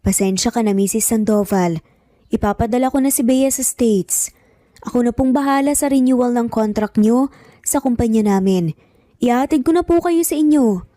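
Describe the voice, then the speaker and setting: An authoritative young professional gentleman, played by a female narrator in a romance audio story. He speaks firmly and with formal courtesy, in a mellow tone on a dry recording. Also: fairly high pitch, normal speed, no room sound, natural voice. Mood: firm, courteous. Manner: firm, formal, Taglish.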